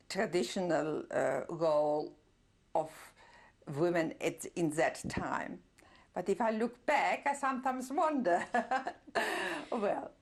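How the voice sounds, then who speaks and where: An older woman whose first language is German, giving a reflective oral history testimony with many pauses and soft laughs. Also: fairly low pitch. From Czernowitz, Bukovina. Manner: reflective, pausing often with fillers, soft laughs. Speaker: interviewee, Holocaust survivor.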